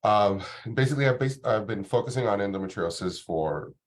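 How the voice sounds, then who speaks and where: A man, a doctor giving a relaxed, informal talk to an online audience with many ums and restarts. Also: lowish voice, brisk. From Dominican Republic. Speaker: guest doctor presenting.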